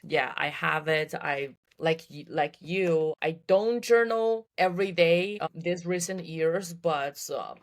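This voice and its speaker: Low-voiced young woman from China. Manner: thoughtful, repeats words as she restarts. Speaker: guest. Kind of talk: casual podcast conversation.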